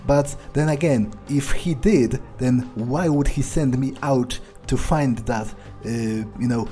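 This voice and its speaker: A man roleplaying a surly, foul-mouthed vampire during a game, musing haltingly to himself in character. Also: medium pitch, mellow. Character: surly, foul-mouthed, self-serving male vampire, broodingly thoughtful. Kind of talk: in-character gameplay monologue.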